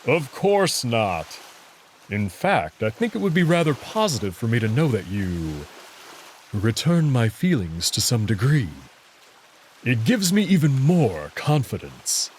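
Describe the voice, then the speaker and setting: A man voicing a young male alien wolf for a visual novel, proud and courteous, speaking earnestly and deliberately. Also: mid-range voice, big pitch swings, slight background noise. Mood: serious, confident. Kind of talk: visual novel character reading.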